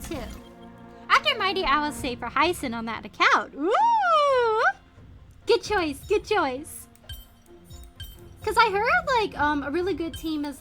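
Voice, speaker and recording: Super animated girl gamer chatting on stream, really bright voice, exclaims a lot and thinks out loud. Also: high voice. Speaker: video game livestreamer. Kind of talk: casual gaming stream chat.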